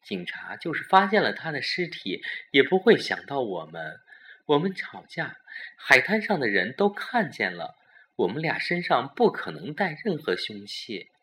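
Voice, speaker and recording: A man's voice: medium pitch, sing-song, normal speed, pushed and bright, pitched above the performer's own voice. A man voicing a calculating, cold-blooded bride in a suspense audio drama. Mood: urgent, scheming. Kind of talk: suspense radio drama acting.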